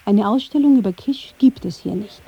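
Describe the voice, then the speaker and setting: A woman reading scripted narration for a radio feature in a measured, literary way. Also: mid-range voice, creaky, dark tone, lo-fi sound, slight background noise. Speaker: documentary narrator.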